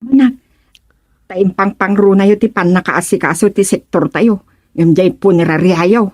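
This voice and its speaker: A woman's voice: mid-range voice, measured pace, darkish tone, natural voice. A woman playing an earnest, proud village councilwoman in an Ilocano radio drama-comedy. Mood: friendly.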